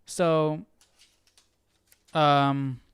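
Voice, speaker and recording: A man's voice: on the high side, flat delivery, very slow, a bit bright, clear, resonant tone, no room sound, clean studio sound. A young man hosting a casual study livestream, musing aloud as if to himself. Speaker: livestream host. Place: small indoor room.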